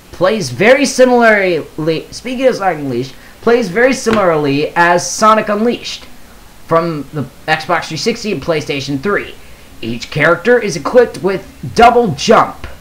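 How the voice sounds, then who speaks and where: Casual gaming vlogger, mid-range voice with very lively intonation, reads aloud haltingly with stumbles. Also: medium pitch, rough recording. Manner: halting, stumbles over words while reading. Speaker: gaming video creator. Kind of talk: casual commentary, reading aloud a post.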